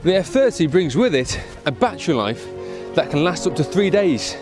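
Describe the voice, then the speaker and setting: A man narrates a product promo in a smooth, scripted voice-over. His voice sits a little high for a man, and clear music plays under him throughout. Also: sing-song, moderate pace. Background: background music.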